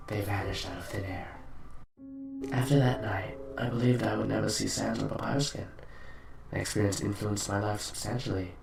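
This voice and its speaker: A man's voice: lowish voice, vocal fry. A man playing a polite, apologetic, earnest bartender with formal, hesitant speech in a fan comic dub. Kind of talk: fan comic dub, dramatic acting with reflective narration. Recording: roomy, distant microphone, music behind.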